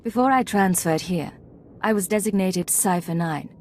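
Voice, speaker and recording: A woman's voice: medium pitch, moderate pace, a little hiss or hum, lightly acted. A woman playing a cool, businesslike Imperial spy agent in a video game, controlled and clipped.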